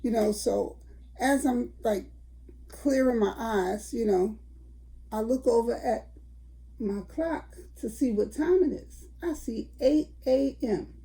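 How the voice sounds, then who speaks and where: A woman's voice: on the high side, slow, dark tone, rough recording. An older woman, telling a story to her viewers in a chatty vlog. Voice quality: raspy. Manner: chatty, addresses viewers as y'all. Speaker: vlogger.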